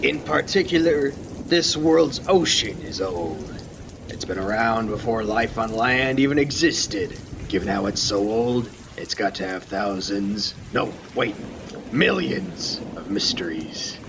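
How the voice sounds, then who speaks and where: Creaky-voiced lighthouse keeper played by a man, calm, mysterious storyteller for a student radio play; rain and thunder behind. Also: fairly low pitch, slow pace, background noise. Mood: musing.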